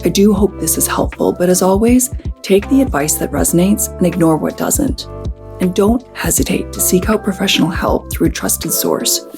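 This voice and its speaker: A woman hosting a podcast in an earnest, gentle way, her voice a little hoarse from a cold. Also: fairly low pitch, animated, normal speed, over music. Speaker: podcast host. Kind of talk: podcast conversation and scripted intro.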